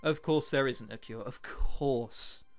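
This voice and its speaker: A man's voice: medium pitch, slow pace. A man reading a visual novel aloud as a let's player, adding wry, chatty asides and sometimes stumbling over his words. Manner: wry, chatty asides between read lines. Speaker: let's play host. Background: background music.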